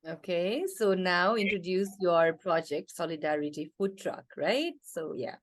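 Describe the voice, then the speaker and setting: A woman's voice: fairly low pitch, unhurried. A woman hosting an awards presentation session, friendly and chatty, laughing easily as she introduces the speakers.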